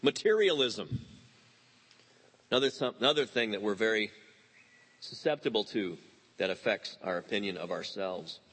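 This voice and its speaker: A man in his fifties preaching a sermon, animated and telling stories with quoted voices. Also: lowish voice, normal speed, long pauses, breathy edge. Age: 50s. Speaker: pastor.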